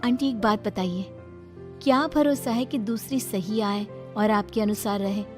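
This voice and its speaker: A woman voicing a kind, long-suffering young wife in a cartoon story, high and earnest. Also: measured pace, music in the background, close to an everyday voice.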